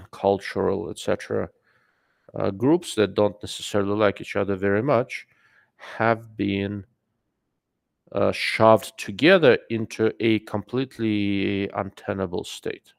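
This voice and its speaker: A man with a noticeable accent holds forth on his live stream, loose and rambling, full of fillers and asides to his viewers. His voice sits low and is heavily gravelly. The sound is dry and close, with no room around it. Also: no room sound. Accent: non-native English. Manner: rambling, filler-heavy, greets chat mid-sentence. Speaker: livestream host. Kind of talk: casual live-stream commentary.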